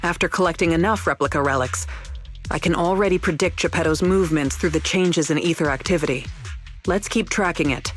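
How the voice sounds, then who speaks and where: A man voicing a composed, analytical strategist in video game story dialogue, speaking in a measured, serious way. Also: on the high side, a bit bright, soft background music, lightly acted. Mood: serious, calm. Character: composed, analytical strategist briefing the team on a threat.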